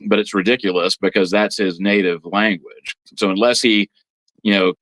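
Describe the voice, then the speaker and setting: A man speaking as an interview guest, informally explaining history and politics in a halting way, repeating words as he goes. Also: fairly low pitch, fairly even intonation, fairly bright tone. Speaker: guest priest on a religious podcast.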